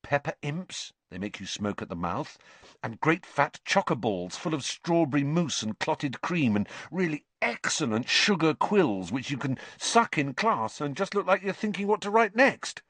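A man voicing an excitable, blunt teenage schoolboy wizard in a storybook reading, with eager, dramatic delivery. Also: mid-range voice, moderate pace, no room sound, voice pushed up. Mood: dreamy.

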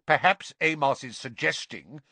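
A man's voice: on the high side, normal speed, sharp tone, no echo, pitched above the performer's own voice. Man playing a stern, imperious senior wizard. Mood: cold anger. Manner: clipped, imperious, formal. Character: stern, coldly furious senior male ministry wizard.

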